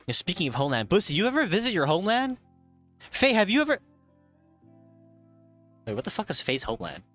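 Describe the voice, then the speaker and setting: A man's voice: high voice, wildly swooping pitch, a little fast, fairly bright tone. Casual young male streamer. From Thai heritage. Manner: swears often, laughs, reads chat aloud. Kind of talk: casual live-stream chat. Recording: faint music behind.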